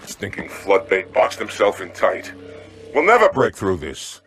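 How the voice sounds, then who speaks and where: Deep gruff alien commander. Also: male voice, wildly swooping pitch, measured pace, exaggerated voice. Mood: frustrated. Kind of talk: video game cutscene acting. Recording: music behind.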